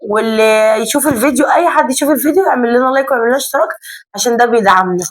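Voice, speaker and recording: A woman with an Egyptian accent, hoarse because her voice is going, chatting casually to her viewers at home in a family vlog. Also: a little high, racing. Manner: chatty, addressing viewers directly. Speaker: vlogger. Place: home kitchen and sitting area.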